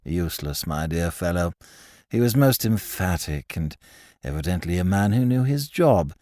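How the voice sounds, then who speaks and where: A man voicing a languid, smug young man laid up in bed, who speaks in a quiet, slow, patronizing drawl. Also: low-pitched, expressive, dry recording, clean studio sound, pitched below the performer's own voice.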